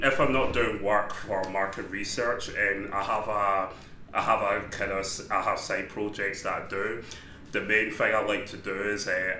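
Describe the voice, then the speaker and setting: A young Glaswegian man with a bright, forceful voice and a steady, flat delivery. He talks in a chatty, self-deprecating way, filling pauses with ums. The recording sounds echoey, with a faint hiss underneath. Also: low voice. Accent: Glaswegian Scottish. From Scotland. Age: 20s. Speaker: interviewee describing his day. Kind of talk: casual monologue.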